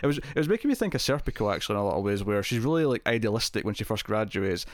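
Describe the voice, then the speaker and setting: A man's voice: fairly low pitch, sing-song, rapid-fire, no echo, studio quality. A man hosting a casual film podcast, talking in a chatty way, laughing often and restarting words. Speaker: podcast host. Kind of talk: casual chat.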